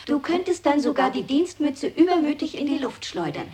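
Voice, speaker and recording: A woman's voice: high-pitched, big pitch swings, normal speed, a little mellow. A woman reciting a scripted poetic text in a radio play, speaking directly to the listener as "you". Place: radio studio.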